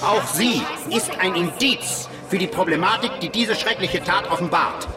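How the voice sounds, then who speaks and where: A man's voice: sits high, lightly acted. A man playing a self-righteous populist politician in a radio drama, speaking in pompous, declamatory phrases as at a solemn public speech. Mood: solemn, indignant. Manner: pompous, declamatory, rhetorical pauses. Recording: echoing public space with music and crowd behind. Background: music and crowd.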